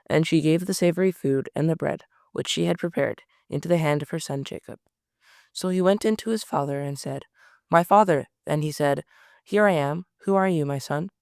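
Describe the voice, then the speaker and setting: A man reading scripture aloud at a steady pace, often stumbling over words and correcting himself. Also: fairly high pitch, gravelly, clean tone, dry recording, clean studio sound. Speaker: Bible reader.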